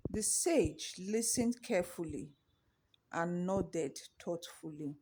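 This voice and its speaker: A woman narrating a scripted children's folktale with measured, deliberate phrasing. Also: on the low side, lively intonation, mellow, breathy edge. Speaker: storyteller.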